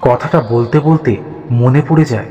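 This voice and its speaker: A man's voice: mid-range voice, normal speed, big-room sound, lo-fi sound. A man narrating a scripted horror audio story in a dramatic storytelling style. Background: background music.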